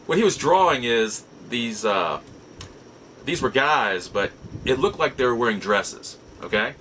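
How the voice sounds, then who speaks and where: Guy rambling thru an old memory, forceful bright voice, stop-start, bit of background noise. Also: medium pitch, poor audio. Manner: halting, repeats and restarts words as he goes. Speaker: host of a nostalgia show. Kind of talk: casual storytelling, personal reminiscence.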